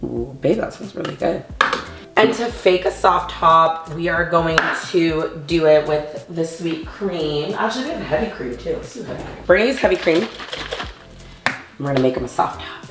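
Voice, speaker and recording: A woman's voice: low-pitched, expressive, moderate pace. A young woman vlogging from her home kitchen, chatty and bubbly, calling viewers babes and honey. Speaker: lifestyle vlogger. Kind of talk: casual chat to camera. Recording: echoey kitchen, soft background music.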